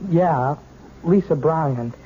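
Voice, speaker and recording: A man's voice: fairly high pitch, drawn-out words. An actor playing a bashful, awkward young man in a 1970s radio drama, polite and hesitant. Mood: awkward, shy. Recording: muffled, phone-like old radio recording, faint noise.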